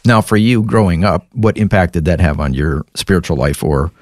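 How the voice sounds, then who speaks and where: A man hosting an interview, reading a scripted introduction and asking measured, attentive questions. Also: low voice, darkish tone, dry recording, clean studio sound. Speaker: host.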